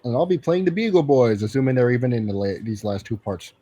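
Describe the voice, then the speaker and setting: A man with a husky voice and a middling pitch chats casually. He banters informally within a group of friends. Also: very animated, fast talker, dark tone. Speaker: voice cast member.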